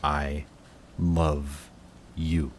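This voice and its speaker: A man playing a guarded villain in love with a rival, in an intimate audio roleplay with halting, emotional delivery. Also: very deep voice, flat delivery, slight background noise, full character voice. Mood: tender confession. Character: lovestruck male villain, guarded, flustered, confessing love to rival.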